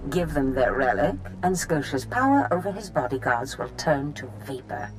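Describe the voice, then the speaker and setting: Low-voiced, scheming dark mistress. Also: female voice, sing-song, normal speed, soft background music, low-quality recording. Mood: cool scheming. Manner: gleeful, conspiratorial, knowing. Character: scheming, theatrical female Sith master. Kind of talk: dramatic video game acting.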